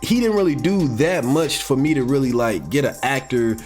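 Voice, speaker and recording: American male commentator, medium pitch, casual and rambling with heavy slang and fan excitement, recorded over background music. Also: normal speed. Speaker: YouTube commentator on comics and animation. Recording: music playing behind the voice.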